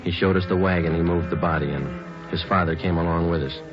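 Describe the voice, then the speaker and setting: A man acting out a stern police detective in an old radio drama, very deep-voiced and clipped. He states facts flatly, without emotion. Music plays behind the dull, muffled broadcast sound. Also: fast talker, close to an everyday voice. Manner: clipped, matter-of-fact, deadpan. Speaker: detective narrator. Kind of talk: 1940s radio drama narration.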